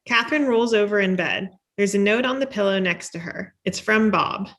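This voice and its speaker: A woman's voice: medium pitch, normal speed, fairly bright tone. A woman reading stage directions as scripted narration at a table read.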